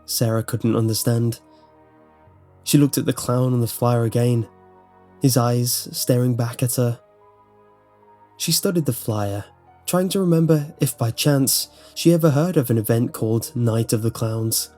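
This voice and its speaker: A man narrates a scripted horror story. His voice is mid-pitched and dark, with a mellow tone. Faint music plays underneath. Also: a little slow.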